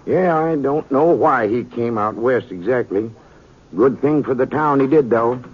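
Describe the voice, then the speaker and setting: A man's voice: medium pitch, measured pace, breathy edge. A man playing a folksy, rambling old-timer with an American accent in a 1950s radio Western. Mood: chatty, admiring. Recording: muffled 1950s radio recording.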